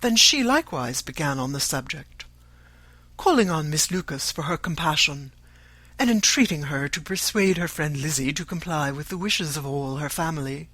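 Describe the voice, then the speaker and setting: Audiobook narrator, literary storytelling, measured and formal, mid pitch, no echo. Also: animated, bright, forceful tone.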